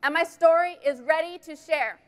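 A woman delivers a scripted keynote on a big conference stage. Her voice is high and her delivery polished and enthusiastic as she introduces the next speaker. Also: normal speed. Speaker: presenter.

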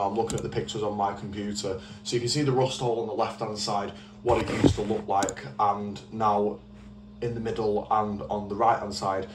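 A British man talks casually in a low, fairly flat voice, explaining things informally as in a car vlog. The recording has clear background noise, like a handheld video made around vehicles. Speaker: car restoration vlogger. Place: in a car, out on a street, and at a computer.

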